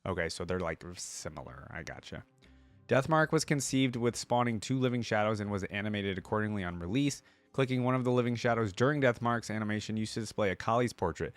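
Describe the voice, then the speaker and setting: A man's voice: on the low side, lively intonation, brisk. A man hosting a reaction video, giving informal, exclamatory commentary as he thinks aloud.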